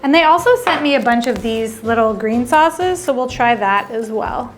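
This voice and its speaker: A woman's voice: a little high, expressive, moderate pace, faint music behind. A woman hosting a food vlog, chatting and thinking aloud as she tastes each dish. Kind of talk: casual food tasting vlog.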